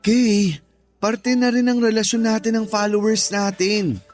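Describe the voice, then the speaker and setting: An actor with a Filipino accent playing an earnest, placating young boyfriend in a radio drama. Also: male voice, high-pitched, little pitch movement, a little slow. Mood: defensive, placating. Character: defensive, eager-to-please young boyfriend, a content creator. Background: music.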